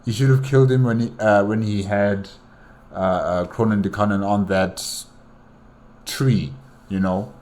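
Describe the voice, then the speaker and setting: A man giving casual, unscripted reaction commentary as host, speaking haltingly and often repeating himself. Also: lowish voice, fairly even intonation, a little mellow.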